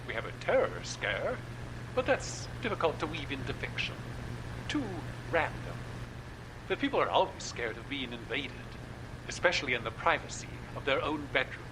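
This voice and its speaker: A man plays a genial horror producer who savors every spooky word. His voice rises and falls freely, and a steady noise hangs under the recording. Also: medium pitch, natural voice. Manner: theatrical, relishing spooky talk. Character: genial male horror-drama host being interviewed. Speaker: radio drama producer guest.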